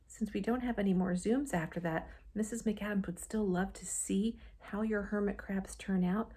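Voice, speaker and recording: A woman teacher reading a storybook aloud to young children, expressive, with chatty asides and gentle checking questions aimed at them. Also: mid-range voice.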